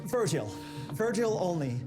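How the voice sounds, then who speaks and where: A man's voice: high-pitched, sing-song, a little slow, over loud music. A man voicing an awkward, starstruck engineer in a western video game, nervous, deferential and gushing. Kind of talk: dramatic video game acting.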